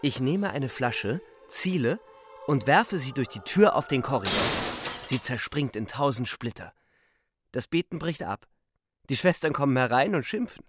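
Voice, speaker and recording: An actor playing a wounded young soldier who narrates a radio drama in a terse, urgent, defiant way. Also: male voice, medium pitch, lively intonation, moderate pace, noisy background, close to an everyday voice. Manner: terse, urgent present-tense telling.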